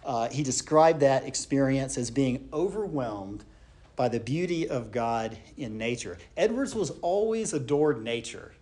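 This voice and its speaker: A man gives a relaxed church history lecture, with fillers, restarts and rhetorical questions. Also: mid-range voice, unhurried.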